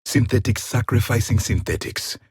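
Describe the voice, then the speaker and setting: A man's voice: mid-range voice, fairly even intonation, moderate pace, husky, dry recording, clean studio sound. A man playing a stern, bitter ancient alien warrior in a video game, speaking in a formal, clipped, disdainful way. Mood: grave, disdainful. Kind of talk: video game combat and dialogue lines.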